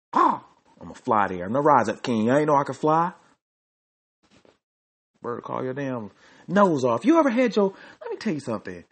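A man's voice: mid-range voice, fairly bright tone, husky. A man hosting his own podcast, delivering a joking, repetitive sports rant. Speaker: podcast host.

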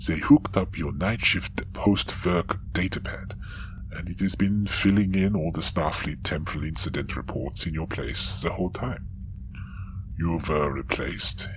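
Sci-fi drama: blunt alien counselor, very low voice, Austrian-style accent, tag questions, noisy backdrop. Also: male voice, fairly even intonation, unhurried. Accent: Austrian-sounding. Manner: frank, tags statements with "yeah?". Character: blunt, clinical male alien counselor from Tellar. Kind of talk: sci-fi audio drama acting.

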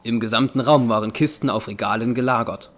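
Male audio drama narrator, medium pitch. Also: brisk, a little hiss or hum.

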